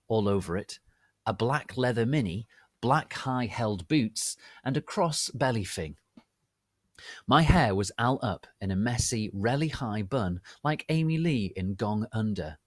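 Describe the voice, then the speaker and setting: A man giving a theatrical, deliberate dramatic reading of fan fiction as its narrator. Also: fairly low pitch, dry recording, clean studio sound. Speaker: narrator, reader.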